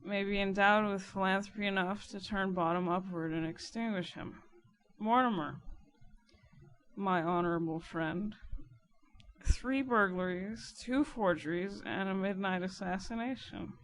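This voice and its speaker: Woman as a gentleman lawyer, audiobook character, languid, deliberate, pompous, dryly ironic. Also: medium pitch, lightly acted, rough recording. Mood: sardonic. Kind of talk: dramatic audiobook character reading.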